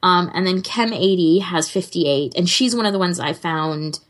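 A woman with a slightly low voice co-hosts a podcast. She talks in a casual, conversational way, as in an informal chat. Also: moderate pace. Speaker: podcast co-host.

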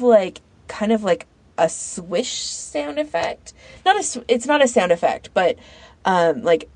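Woman chatting casually, low-pitched, dry close sound. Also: darkish tone, dry recording. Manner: informal, halting, thinks aloud. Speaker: podcast panellist recommending fan audio fiction. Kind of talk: casual chat.

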